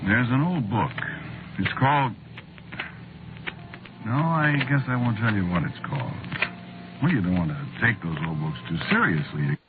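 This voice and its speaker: Noisy old radio drama, sardonic put-upon American writer, lively swooping intonation, halting conversational delivery. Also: male voice, on the low side, phone-quality sound. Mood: wry, confiding. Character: wry, put-upon male Hollywood horror screenwriter. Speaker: narrator and lead actor. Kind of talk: 1940s radio acting, conversational first-person narration.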